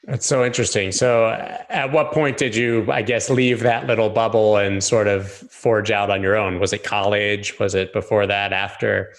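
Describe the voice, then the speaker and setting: Male podcast host, low-pitched, casual, curious interviewer. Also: fairly even intonation, normal speed, few pauses. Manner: curious, rambling questions with repeats.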